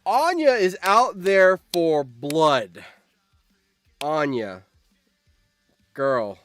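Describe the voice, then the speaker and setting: A man's voice: a little high, very slow, no room sound. Male streamer, casual commentary, exclamatory, repeats himself, dry close sound, music underneath. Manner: animated, exclamatory, repeats phrases. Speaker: streamer hosting a reaction game. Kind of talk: casual live-stream commentary, reading game events aloud.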